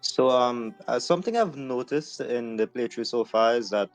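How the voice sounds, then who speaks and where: A man's voice: mid-range voice, expressive, moderate pace, faint music behind. A man co-hosting a live stream, chatting casually and hesitantly, with restarts and many "like"s. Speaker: co-host.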